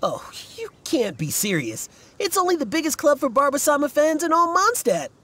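A man's voice: very high voice, big pitch swings, brisk, bright, forceful tone, full character voice. A man voicing an earnest, self-important young fan club leader in a video game, stammering and fussy. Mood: proud. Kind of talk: video game character acting.

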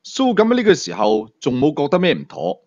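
Expressive Hong Kong Cantonese male storyteller, slightly muffled. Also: a little high, brisk. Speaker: audiobook storyteller. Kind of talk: dramatic narration of a thriller story.

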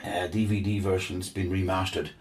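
A man's voice: low voice, flat delivery, a little fast, far from the mic. A man guest musician chatting casually, enthusiastic and rambling with repeated words. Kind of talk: casual chat.

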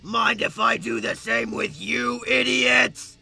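Gravelly, enraged demon prince. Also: male voice, very high voice, steady tone, sharp tone, faint music behind, full character voice. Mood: menacing rage. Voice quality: gravelly, raspy. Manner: slow, threatening delivery. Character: enraged demonic transformed goat monster prince, menacing. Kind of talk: comic dub voice acting.

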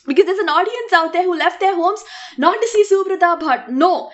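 A woman plays a young stage actress in a radio drama, with a very high voice. She sounds insecure and defensive, protesting in a flustered, halting way. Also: lively intonation, lo-fi sound. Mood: defiant. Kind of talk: radio play acting.

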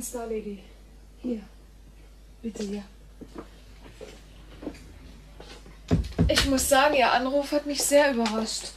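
A woman playing an informal, friendly and frank Earth woman in a science fiction radio play, with a halting, colloquial delivery. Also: fairly high pitch, noisy background. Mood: hesitant, welcoming.

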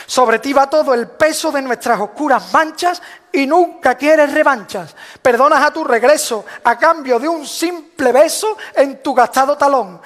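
A man's voice: very high-pitched, fairly bright tone. A man solemnly reciting a rhymed devotional proclamation as a Holy Week proclaimer, in a declamatory manner. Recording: long hall reverb.

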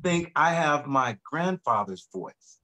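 A middle-aged man, a singer guest, talking in a relaxed, reflective way about his background on a video call from his home studio. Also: fairly high pitch, slow. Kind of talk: casual video-call interview.

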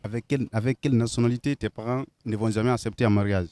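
A man's voice: medium pitch, steady tone, rapid-fire, mellow, no room sound. A young man doing a casual street interview outdoors with a handheld microphone, friendly and repeating answers back.

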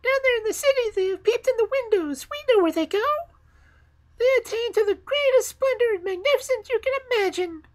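A woman voicing a flock of excited, gossiping sparrows in a storybook reading, with a shrill, rapid, twittering delivery. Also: rough recording.

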